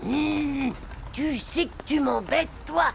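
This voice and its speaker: A woman's voice: high-pitched, takes it slowly, creaky, heavily acted. A woman voicing a mouthy, dramatic schoolboy in a French cartoon, slangy and emphatic. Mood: grumbling. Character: mouthy, dramatic schoolboy, cocky and easily exasperated. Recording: music behind the voice.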